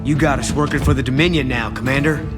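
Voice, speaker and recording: A man's voice: medium pitch, steady tone, fast talker, lightly acted. An actor playing a defiant, hot-headed rebel space crewman in a video game, speaking bluntly. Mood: accusing. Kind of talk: video game cinematic acting. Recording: very loud music behind.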